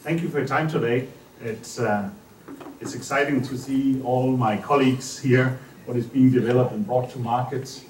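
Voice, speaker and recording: A man's voice: mid-range voice, normal speed. Candid man on a panel. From Denmark. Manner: energetic, candid, gestures while talking. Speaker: startup founder panelist. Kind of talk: casual conference talk. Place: indoor conference room. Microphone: stand microphone at a panel table. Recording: roomy hall, mic from a distance, faint hum.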